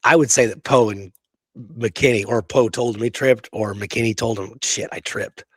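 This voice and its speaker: A man co-hosting a casual history discussion, telling the story in an animated way with rhetorical questions. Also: mid-range voice, moderate pace, husky, no echo. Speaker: podcast co-host presenting history research.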